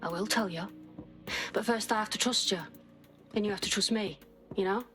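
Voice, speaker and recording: A woman's voice: medium pitch, moderate pace, over music, natural voice. An actress playing a chatty, secretive young female contract broker in a video game, informal, coaxing and slightly awkward. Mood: conspiratorial.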